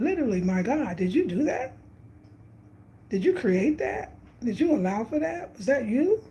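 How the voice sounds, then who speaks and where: A woman's voice: a little high, dark tone, slight background noise. A woman in her fifties from New York, talking casually and reflectively about travel and spirit, repeating phrases for emphasis. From Brooklyn, New York. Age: 50s. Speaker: travel vlogger.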